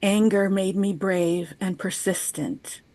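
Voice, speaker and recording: Woman, 50s, very slow pace, reflective chapel talk, poetic, dry video-call mic. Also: medium pitch, steady tone, dark tone, dry recording. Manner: measured, poetic, unhurried delivery. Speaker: chapel speaker. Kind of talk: reflective personal chapel talk, read aloud. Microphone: video call microphone.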